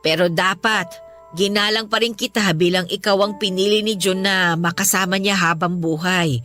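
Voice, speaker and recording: A woman playing a remorseful elderly Filipino mother-in-law, bright-voiced. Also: medium pitch, steady tone, moderate pace, faint music behind, natural voice. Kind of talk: Tagalog radio drama acting.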